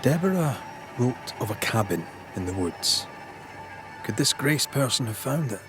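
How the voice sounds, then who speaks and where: An actor playing a shrewd, persistent investigator of hauntings in a video game cutscene, probing and wry. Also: male voice, mid-range voice, big pitch swings, a little slow, music in the background.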